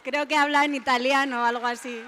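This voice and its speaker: A woman's voice: sits high, fairly even intonation, fast talker, sharp tone, slight background noise. A woman introducing a speaker at a public talk, a little nervous and informal. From Spain. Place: lecture hall. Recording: long hall reverb.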